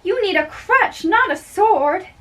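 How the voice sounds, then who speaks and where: Woman playing scornful noble wife, very high pitch, slow delivery, stage play, distant mic. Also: animated, a bit bright, exaggerated voice. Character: scornful noble wife mocking her old husband. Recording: distant microphone.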